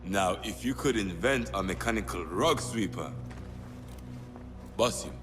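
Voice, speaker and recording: A friendly game companion, really low voice, big hall reverb, music behind. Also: male voice, animated, measured pace. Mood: warm, curious. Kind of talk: dramatic video game acting.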